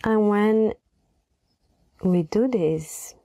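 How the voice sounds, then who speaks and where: A woman leading a guided meditation in a soft, gentle voice, unhurried and pausing between phrases. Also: mid-range voice, no room sound.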